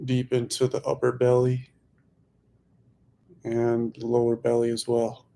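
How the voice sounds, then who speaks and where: A man leading a guided meditation as a teacher, speaking slowly and softly in short phrases with long pauses. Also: mid-range voice, completely flat delivery, creaky. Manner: slow, hushed, long pauses between phrases.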